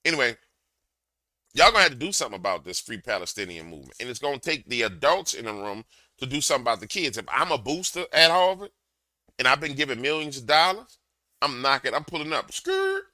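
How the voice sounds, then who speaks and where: A man with a booming, very bright and forceful voice delivers a loud, slangy rant, repeating himself for emphasis. His pitch sits in an ordinary middle range, and the recording is close and dry. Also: big pitch swings, fast talker, no room sound. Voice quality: big booming voice. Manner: loud, slangy, repetitive, heated. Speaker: commentator reacting to a clip. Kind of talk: ranting reaction commentary. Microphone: desk microphone.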